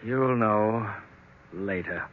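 A subdued, fairly low-voiced husband, meek and hesitant as if long henpecked. He is evasive and nervous in old radio drama style. The sound is very thin, like a telephone line. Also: drawn-out words. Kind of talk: old-time radio acting.

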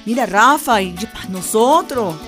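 A feisty Venezuelan woman guerrilla in a radio drama. Her voice sits fairly high and she talks bluntly and insistently, refusing to back down, with music behind her. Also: lively intonation, unhurried, fairly bright tone. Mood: defiant.

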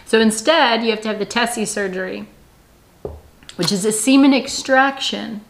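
A woman's voice: a little high, normal speed, gravelly, low-quality recording. Chatty woman in her thirties, laughing often. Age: 30s. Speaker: channel host. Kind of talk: casual couple vlog chat.

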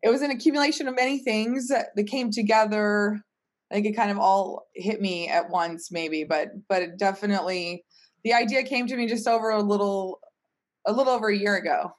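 Woman chatting casually, medium pitch. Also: brisk. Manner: informal, frequently says "like". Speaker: company CEO and podcast guest. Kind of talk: casual interview talk.

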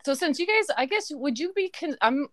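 A woman in her forties hosting a casual family podcast, chatty and quick to laugh. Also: high voice, expressive, no room sound. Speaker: podcast host. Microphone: remote call.

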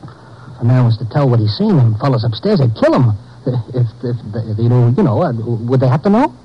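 Jittery American jailbird informant, husky, stammering, pleading; vintage muffled radio drama. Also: male voice, medium pitch, sing-song. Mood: anxious, pleading. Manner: stammering, halting, ungrammatical. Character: nervous, pleading small-time male convict informant. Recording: muffled old 1950s radio recording.

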